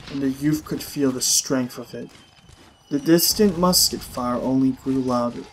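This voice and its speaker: A man's voice: mid-range voice, a little hiss or hum, low-quality recording. A man reading a story aloud as a scripted audiobook narration.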